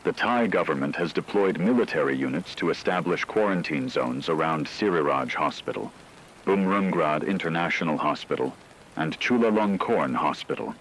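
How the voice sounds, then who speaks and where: A man playing a grave radio news anchor, delivering a scripted breaking report in a formal, measured, factual manner. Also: rumbling low, expressive, fairly bright tone, slight background noise, low-quality recording. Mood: grave, controlled.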